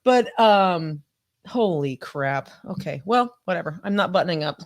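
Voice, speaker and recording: A woman's voice: on the low side, lively intonation, moderate pace, slight background noise. A woman in her fifties co-hosting a live podcast, chatting casually and reading viewer comments aloud, laughing often and swearing freely. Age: 50s. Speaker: podcast co-host.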